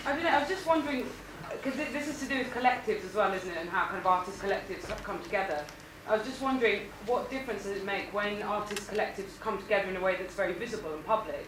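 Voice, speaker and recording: Audience woman, fast and tentative, echoing hall heard from afar with noise. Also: medium pitch. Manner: apologetic, tentative, says she has a loud voice. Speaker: audience member asking a question. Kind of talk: question from the floor. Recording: echoey hall, distant mic, noisy.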